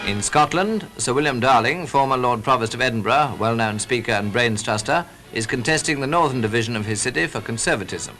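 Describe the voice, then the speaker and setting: A British man narrates a 1940s newsreel. His mid-pitched voice delivers scripted commentary on a vintage soundtrack, with music running behind him. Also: a little fast, few pauses, poor audio.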